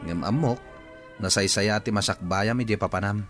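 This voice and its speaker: An actor playing a concerned, sympathetic school colleague in a radio drama, speaking in a probing way. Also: male voice, fairly low pitch, normal speed, fairly bright tone, soft background music, close to an everyday voice. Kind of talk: Filipino radio drama acting.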